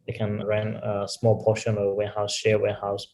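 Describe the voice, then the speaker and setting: A man's voice: on the low side, monotone, brisk, creaky, darkish tone, slight background noise. A company executive explaining his business in an interview, often checking in with "right?". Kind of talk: interview answers.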